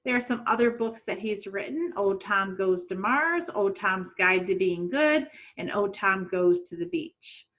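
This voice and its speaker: A middle-aged woman speaks as a teacher to young children at storytime. Her delivery is unhurried and very lively in pitch, and she often turns to her listeners with questions. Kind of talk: read-aloud storytime for children. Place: small room at home.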